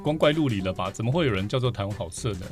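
A man's voice: lowish voice, lively intonation, rapid-fire, faint music behind. A man with a Taiwanese Mandarin accent, chatting casually as a podcast guest and telling anecdotes with playful asides. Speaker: podcast guest, vinyl record collector. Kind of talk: casual interview storytelling.

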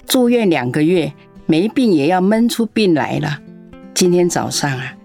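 A woman's voice: low-pitched, a little mellow. A woman voicing an ailing elderly mother in a radio drama, sighing and coughing between her words. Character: ailing elderly mother, sharp-tongued yet tender.

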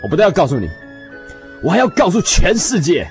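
Radio drama husband, played by a man: earnest and obsessed, highish, very animated delivery, theatrical and yearning, over music. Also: brisk, exaggerated voice. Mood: elated, fervent. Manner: theatrical, swinging from hushed reflection to fervent declaration.

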